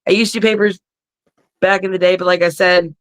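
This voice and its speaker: A middle-aged woman with a raspy voice, a wrestler guest chatting bluntly and crudely on a video call from her living room. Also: on the low side, fairly even intonation, fast talker, a bit bright. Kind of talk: casual podcast chat.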